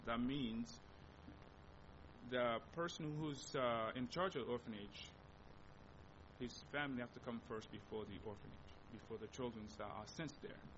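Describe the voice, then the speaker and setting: A young Congolese man with a Congolese accent talks to a church group about his mission work. His voice sits at an ordinary pitch, and he pauses and restarts often as he looks for the right English words. The recording is dull, with a clear low rumble running underneath. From Democratic Republic of Congo. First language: Lingala. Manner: halting, searching for words, many restarts.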